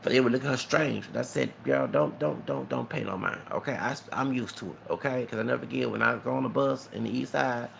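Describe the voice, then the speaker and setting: A man's voice: medium pitch, a little fast, creaky, few pauses, fairly bright tone, slight background noise. A man telling stories in a casual, rambling vlog monologue, often repeating words, tagging lines with "okay?" and slipping into Spanish. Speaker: vlogger.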